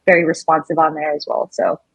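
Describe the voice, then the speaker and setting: A woman's voice: deep voice, level tone, fairly quick, vocal fry, a bit bright, husky, no echo. A woman speaking as a podcast guest, chatting informally and thinking aloud as she answers. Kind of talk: casual interview chat.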